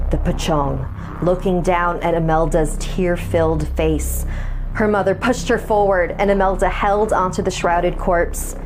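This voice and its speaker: A woman with a fairly low voice narrates a scripted horror tale. She is the storyteller, and music runs clearly beneath her voice. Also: rough recording. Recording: music behind the voice.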